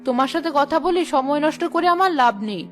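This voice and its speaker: A woman's voice: high voice, fast, fairly bright tone, soft background music. A woman voicing a gossipy, taunting village wife in a cartoon, with a needling manner. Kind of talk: cartoon voice acting.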